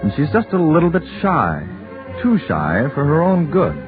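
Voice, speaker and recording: A tinny vintage broadcast with music behind it carries a man narrating a radio drama. His scripted delivery is dramatic, with lively swings in pitch. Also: normal speed. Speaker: announcer and narrator.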